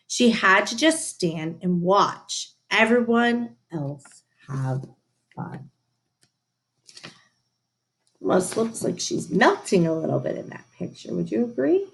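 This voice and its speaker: Slow, very expressive woman reading aloud. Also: medium pitch. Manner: expressive, emphatic, teacherly. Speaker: storybook reader. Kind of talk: children's read-aloud narration with asides to listeners.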